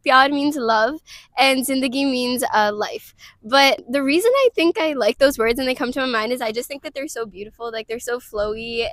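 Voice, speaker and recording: A woman's voice: high voice, a bit bright, dry recording, studio quality. A young woman in her twenties from Ohio, a college student whose first language is Tamil, chatting casually with frequent "like" and "um" fillers. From Cleveland, Ohio, US. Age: 20s. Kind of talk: casual interview monologue.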